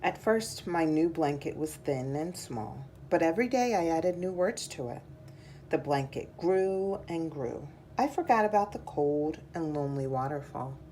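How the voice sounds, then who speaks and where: A woman reading a children's picture book aloud in a measured, deliberate storytelling style. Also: low voice, animated, mellow, slight background noise.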